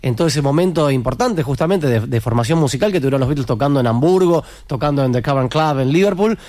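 A man's voice: medium pitch, animated, fast, a little mellow, no echo. A man with an Argentine accent, a musician answering interview questions with explanatory anecdotes and frequent tag questions that seek agreement. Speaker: musician in a tribute band, interview guest.

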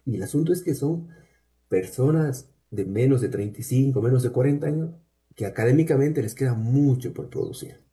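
A man with a Cuenca, Ecuador accent, speaking in a warm, mellow voice at a middling pitch. He answers as a researcher in a measured, explanatory way, pausing often to gather his thoughts. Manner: measured, explanatory, with frequent "eh" pauses. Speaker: university researcher, guest. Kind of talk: conversational interview answers.

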